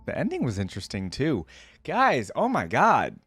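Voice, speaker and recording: Man, mid-pitched voice, casual reaction host, enthusiastic, chatty with viewers, game music behind. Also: wildly swooping pitch, normal speed. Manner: enthusiastic, conversational, addresses viewers as "guys".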